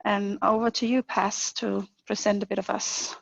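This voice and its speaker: A woman from Denmark, hosting an online webinar in a friendly, slightly halting way. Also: medium pitch, level tone, vocal fry, no room sound. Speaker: webinar host, lean manager. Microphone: video call. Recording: dull, dry video-call audio.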